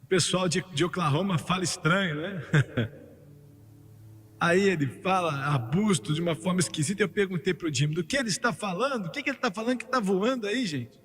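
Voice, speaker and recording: High-voiced man preaching fervently in a hall. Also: brisk, husky. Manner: emphatic preaching that climbs to fervent, shouted peaks, with joking asides. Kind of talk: sermon, voiced over in translation. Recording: long hall reverb with faint music underneath.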